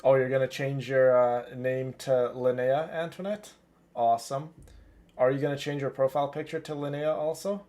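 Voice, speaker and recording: A man's voice: mid-range voice, steady tone, moderate pace, a little mellow. A man livestreaming a video game, chatting casually and exclaiming to his viewers as game music plays. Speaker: game streamer, host.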